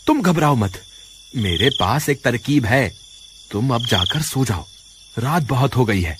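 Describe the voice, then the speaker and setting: A man's voice: medium pitch, very animated, unhurried, background noise. A man playing a jumpy, fretful village woodcutter in a horror cartoon, given to exclaiming. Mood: reassuring. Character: frightened village woodcutter, a husband, jumpy but stubborn. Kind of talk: Hindi horror cartoon dialogue. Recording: music behind the voice.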